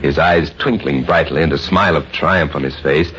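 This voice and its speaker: Low-voiced man narrating old-time radio. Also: moderate pace, fairly bright tone. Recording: dull old radio recording, faint music.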